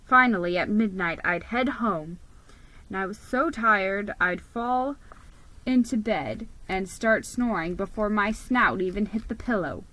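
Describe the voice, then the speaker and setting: A woman giving an expressive, deliberate dramatic reading of a children's story, narrating in the first person as a mouse storyteller. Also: mid-range voice, low-quality recording.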